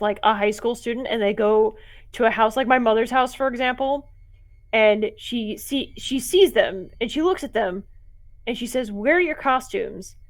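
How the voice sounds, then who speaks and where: A woman streamer with a slightly raspy voice, chatting casually in rambling asides while she writes live. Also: a little high.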